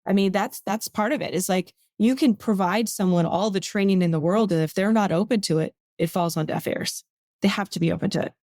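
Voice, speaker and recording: A woman speaks as a podcast guest at a middle pitch. She is firm and matter-of-fact, and her voice sounds dry and close with no room echo. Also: a little fast, a little mellow. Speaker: podcast guest, government advocacy consultant. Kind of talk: casual podcast conversation.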